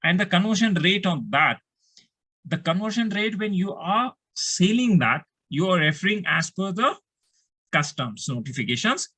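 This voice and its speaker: A man's voice: high-pitched, lively intonation, normal speed, bright, forceful tone, slightly rough. A man with an Indian accent giving a step-by-step tutorial as an accounting instructor, asking questions and then answering them, often repeating himself.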